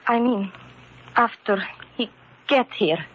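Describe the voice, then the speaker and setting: An actress playing an earnest, wounded immigrant wife with a foreign accent, speaking carefully and formally in an old-time radio drama. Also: female voice, a little high, slow, noisy background. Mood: bewildered. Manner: careful, formal, uncontracted speech. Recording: muffled, dull old radio recording.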